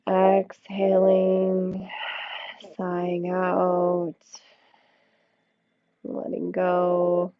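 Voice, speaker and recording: A woman leading a yoga class with slow, soothing guided instruction, speaking in short phrases with long pauses. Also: on the low side, monotone. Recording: thin, phone-like band-limited sound, dry.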